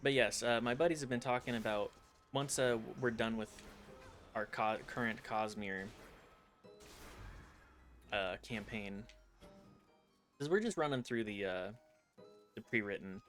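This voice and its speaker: A man's voice: lowish voice, unhurried, long pauses, fairly bright tone, soft background music. Chatty young man streaming a game. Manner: playful, chatty and rambling. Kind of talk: gameplay commentary.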